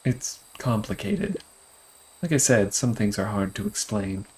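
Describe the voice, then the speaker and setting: An actor playing a gentle, folksy father in a video game, speaking reassuringly to his young son. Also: on the low side, animated, unhurried, slight background noise, low-quality recording. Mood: gentle, evasive.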